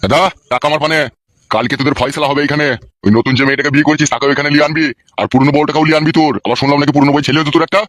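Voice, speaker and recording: Man playing a fast, bossy village politician. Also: fairly high pitch, fairly even intonation, fairly bright tone, no echo, studio quality, natural voice. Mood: angry, scolding. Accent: rural West Bengal. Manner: coarse, hectoring, interrogating. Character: bossy, foul-mouthed male village politician scolding people. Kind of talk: comic cartoon dialogue.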